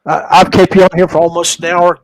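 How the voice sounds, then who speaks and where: A man's voice: high-pitched, level tone, fast talker, dry recording, rough recording. A man hosting a casual podcast discussion, talking in a conversational, anecdotal way. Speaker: podcast host.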